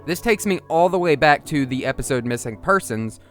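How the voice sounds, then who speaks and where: A man's voice: a little high, expressive, brisk, a bit bright. Man narrating a video essay over music. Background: music under the voice.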